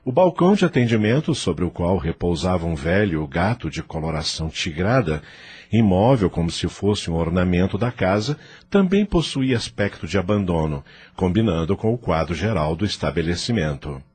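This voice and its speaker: Male narrator, Brazilian accent, low register, animated dramatic delivery for scripted audio-novel storytelling. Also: normal speed, slightly rough. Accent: Brazilian Portuguese. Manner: theatrical, literary storytelling.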